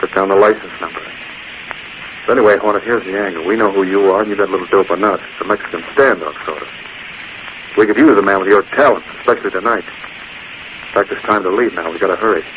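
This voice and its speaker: An actor playing a tough, slangy, cocky American racketeer boss in a 1940s radio drama. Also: male voice, low voice, fast, natural voice. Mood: cocky, bargaining. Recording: muffled, noisy 1940s radio recording.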